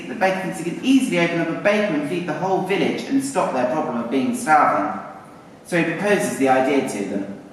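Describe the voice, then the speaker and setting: Lively man narrating in echoey hall. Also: fairly high pitch. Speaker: puppet show narrator. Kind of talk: live storytelling to an audience. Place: arts centre performance space. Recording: very echoey hall, distant mic, faint noise.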